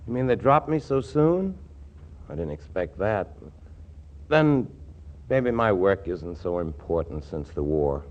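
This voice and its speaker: A modest ex-soldier in a 1940s radio comedy. His lowish voice is understated and matter-of-fact, on a slightly dull vintage recording. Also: very animated, unhurried, pauses often, darkish tone. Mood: mild, earnest. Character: mild, unassuming ex-soldier bug scientist.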